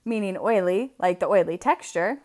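A woman reading a picture book aloud for children, expressively, with deliberate, drawn-out emphasis on key words. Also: medium pitch, animated, a little mellow. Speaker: read-aloud storyteller.

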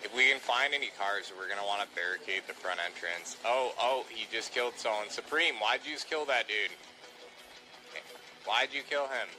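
A man's voice: on the low side, moderate pace, bright, forceful tone, slight background noise, rough recording. A man streaming a video game, chatting casually in character and giving repeated, bossy squad-leader commands. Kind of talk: casual in-character gameplay chat.

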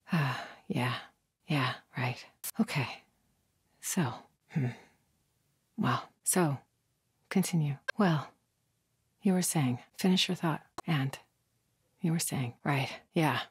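Wary female cult fighter, husky, tense edge, terse video game barks, very dry recording. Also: low voice, slow pace, long pauses, no room sound, exaggerated voice. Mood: low, hesitant. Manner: short, urgent, terse phrases. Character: wary, fierce female cult soldier on patrol.

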